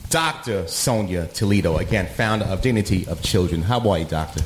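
A man's voice: low-pitched, lively intonation, a little fast, echoey room, noisy background. A man hosting a radio show, interviewing a guest in a casual, conversational way.

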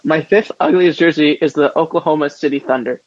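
A man's voice: a little high, a little fast, no room sound. A man co-hosting a casual sports podcast with slangy, rambling, opinionated banter. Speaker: podcast co-host. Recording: dull lo-fi close podcast audio.

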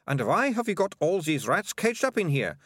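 A man voicing a bossy, lippy young girl with a sharp, accusing manner, in a storybook reading. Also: on the high side, sing-song, moderate pace, no echo, studio quality, pitched above the performer's own voice.

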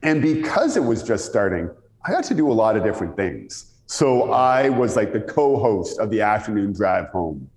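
A Canadian man speaks in an echoey, reverberant space. His radio-style voice moves in lively swings of pitch as he tells anecdotes in a conversational interview manner. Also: moderate pace. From Ontario, Canada. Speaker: interview guest. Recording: echoey room with long reverb.

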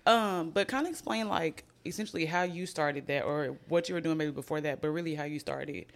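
A woman's voice: deep voice, fairly quick. A woman in her twenties hosting a podcast, chatting casually with slang and frequent laughter. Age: 20s. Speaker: podcast host. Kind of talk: casual chat.

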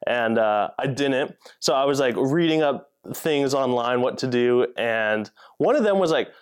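A man's voice: mid-range voice, animated, unhurried, clear, resonant tone. Eager chatty male podcast host. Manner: eager, chatty, laughs a lot, many "like"s. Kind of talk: casual podcast chat.

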